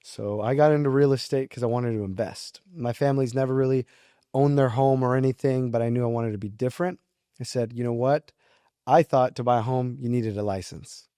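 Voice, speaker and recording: Male, early twenties, mellow relaxed tone, dry recording, polite and informal interview guest explaining casually. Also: medium pitch, fairly quick, smooth, no room sound. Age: early 20s. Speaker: podcast guest, real estate agent. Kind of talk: casual interview talk, explaining his approach.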